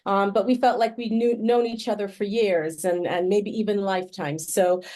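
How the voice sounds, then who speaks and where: A woman's voice: medium pitch, steady tone, normal speed, mellow. A woman hosting a podcast, welcoming her guest in an effusive, friendly way. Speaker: podcast host. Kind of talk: warm podcast interview.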